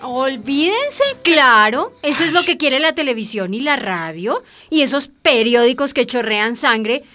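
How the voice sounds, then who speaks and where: A woman's voice: high voice, expressive, measured pace, pushed and bright, faint music behind, heavily acted. A woman playing an indignant, proud Colombian immigrant in a radio soap, speaking colloquially and emphatically with a Colombian accent. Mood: bitter. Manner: emphatic, colloquial, rhetorical questions. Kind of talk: radio soap opera acting.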